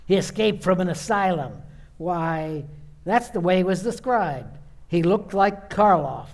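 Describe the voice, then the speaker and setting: Man as a high, gravelly patrol cop. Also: expressive, a little slow. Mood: cocksure. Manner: blunt, slangy. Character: brusque, cocksure male patrol cop. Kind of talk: old-time radio play acting.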